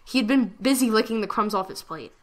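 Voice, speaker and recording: A woman giving a dramatic reading of a fan fiction story, with expressive delivery. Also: medium pitch, quick pace.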